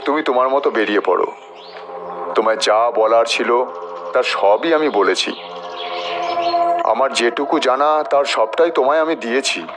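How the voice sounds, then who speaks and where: A man playing a calm wandering holy man and tantric mentor, speaking in a measured, instructive way in an audio drama. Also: mid-range voice, background noise, natural voice.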